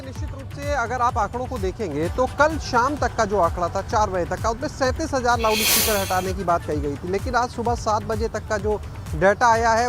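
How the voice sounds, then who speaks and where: A male field reporter gives a live update in a wordy, explanatory way. His voice is high for a man, with music clearly behind it. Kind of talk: live unscripted news update.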